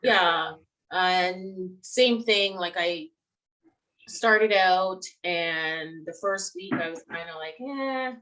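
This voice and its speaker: A woman's voice: fairly low pitch, drawn-out words, sharp tone, low-quality recording. A young woman co-hosting a casual game fan livestream, chatty and giggly as she interviews her co-host.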